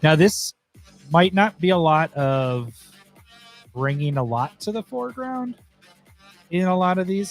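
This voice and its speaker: A man hosting a livestream talks casually as he works, his voice a little hoarse. He thinks aloud and grumbles when things go wrong. Faint music plays quietly behind him. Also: mid-range voice. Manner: chatty, easily annoyed, thinking aloud. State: slightly hoarse, losing his voice. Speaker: host. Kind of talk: casual livestream commentary while editing.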